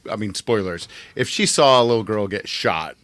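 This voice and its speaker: Man, podcast co-host, casual discussion, crisp bright tone, dry recording. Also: on the low side, lively intonation, normal speed, no room sound. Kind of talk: casual review chat.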